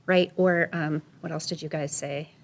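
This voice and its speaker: A woman's voice: deep voice, fairly even intonation, normal speed, creaky. A woman and teacher speaking casually in a professional discussion, chatty and often checking in with "right?". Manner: chatty, tags lines with "right?". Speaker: accessibility guest speaker.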